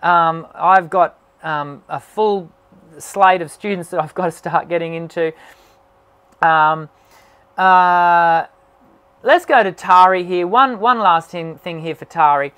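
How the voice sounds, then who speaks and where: A man who hosts a singing channel, answering viewer questions on a live stream in an encouraging tone and often pausing with "um". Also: high voice, sharp tone, clean tone. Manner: encouraging, talks with many "um" fillers. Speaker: singing teacher and channel host.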